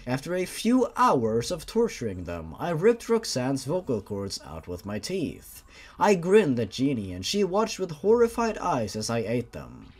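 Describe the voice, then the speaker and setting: A man's voice: mid-range voice, huge pitch swings, a little slow, darkish tone, husky. A man hosting a dramatic read-aloud of a horror story as its narrator. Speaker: host reading a fan horror story aloud.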